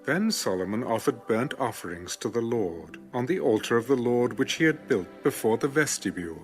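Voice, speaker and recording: A man narrating scripture from a script in a measured, formal way. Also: mid-range voice, animated, faint music behind. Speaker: narrator of an audio Bible.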